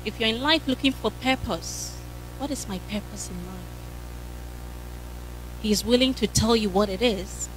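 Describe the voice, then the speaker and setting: A woman's voice: mid-range voice, moderate pace, pauses often, slight background noise. A woman in her thirties preaching a sermon with a handheld mic in a church hall, fervent and punctuated by hallelujahs.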